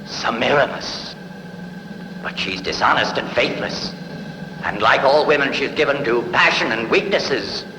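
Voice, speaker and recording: A solemn, troubled king of an ancient empire, acted by a man. His mid-pitched voice is dramatic and grave in manner, over clear background noise in an old epic dub. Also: a little slow, natural voice. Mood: distrustful. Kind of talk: dubbed historical epic film acting. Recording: noisy film-dub soundtrack.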